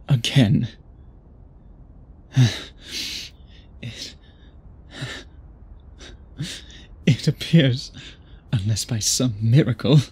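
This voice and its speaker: A man acts out a tormented, obsessive male vampire suitor in an intimate ASMR drama. The voice is extremely breathy and husky, at a medium pitch. He speaks slowly and formally, with theatrical pauses that give him a brooding, possessive air. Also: a little mellow. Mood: apologetic, restrained. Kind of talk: intimate ASMR roleplay acting.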